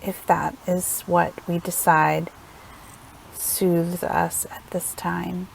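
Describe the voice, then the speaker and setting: A woman leading a guided meditation in a gentle, soothing manner, unhurried and leaving long pauses between phrases. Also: lowish voice, little pitch movement, creaky, slight background noise, rough recording. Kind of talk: guided meditation, slow instructional narration.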